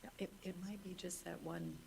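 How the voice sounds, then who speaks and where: A woman with a gravelly, somewhat low voice chairs a board meeting, calling for seconds and votes in a brisk, procedural manner. There is a faint hum behind her. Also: fairly even intonation. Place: meeting room.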